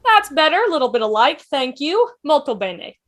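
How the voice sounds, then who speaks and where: A woman playing a quick-thinking, reassuring time-travelling adventurer in a fan table read of a TV drama. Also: high-pitched, very animated, a bit bright. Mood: brisk, focused. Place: online video call.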